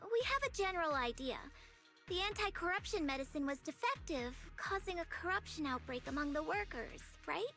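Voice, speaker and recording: Bright, high-voiced girlish investigator. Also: animated, brisk, soft background music, heavily acted. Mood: matter-of-fact. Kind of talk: video game voice acting.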